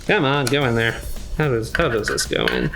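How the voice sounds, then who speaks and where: A man with a mid-pitched voice talks casually while he works with his hands. He thinks aloud in halting phrases, and loud music plays behind him. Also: huge pitch swings. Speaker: PC builder helping a friend.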